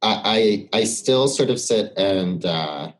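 Deep voiced guy on a podcast, kinda thinking out loud, chatty discussion style. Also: expressive, slow. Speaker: podcast guest. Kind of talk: reflective conversational discussion.

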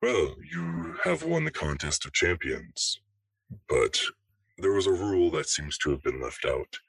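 A man voicing a hooded, faceless reaper who referees the afterlife with calm authority, in measured, formal pronouncements. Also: sits low, gravelly, a little hiss or hum, exaggerated voice. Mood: calm, explanatory.

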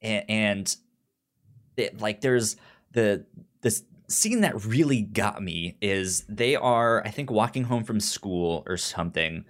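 A man hosting a podcast in casual, opinionated recap chat, animated, with a frequent stutter that repeats the first sounds of words. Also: on the low side, takes it slowly. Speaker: podcast host.

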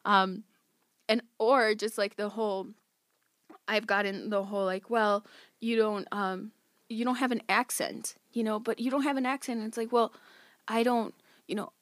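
A woman's voice: medium pitch, fairly even intonation, normal speed, fairly bright tone, no room sound, studio quality. A young woman whose first language is Spanish, a podcast guest talking casually, chatty and giggly with many filler words. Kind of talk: casual conversation.

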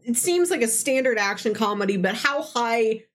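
A woman's voice: fairly high pitch, animated, moderate pace, a bit bright. A woman in her early thirties co-hosting a movie podcast, chatting casually about films. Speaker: co-host of a movie review podcast. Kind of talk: casual chat, movie discussion.

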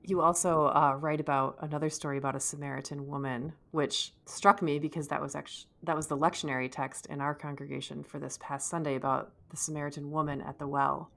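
A woman's voice: sits low, little pitch movement, fairly quick, gravelly, hardly any pauses, fairly bright tone. A woman hosting a podcast, interviewing in a thoughtful, conversational way. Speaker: podcast host.

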